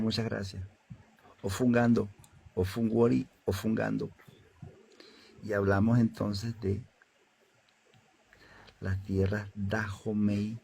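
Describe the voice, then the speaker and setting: A man with a low, gravelly voice teaches at a slow, deliberate pace. His tone is dark and mellow, with faint room ambience behind him. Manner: measured, deliberate lecturing. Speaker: elder Ifá priest guest.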